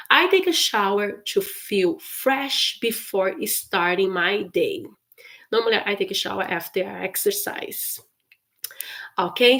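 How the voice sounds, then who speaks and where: A woman teaches everyday English on a live stream. She speaks very slowly, repeats each phrase with care, and often laughs lightly between lines. Her voice sits at a medium pitch. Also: fairly bright tone. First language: Portuguese. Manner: slow, careful repetition of phrases with frequent laughs.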